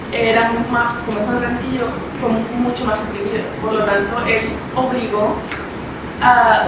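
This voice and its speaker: A young woman from Colombia with a Bogotá accent, giving a reflective, halting remark in a discussion as an audience member. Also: on the high side. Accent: Bogotá Spanish. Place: event hall. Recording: echoey hall from far off, loud noise.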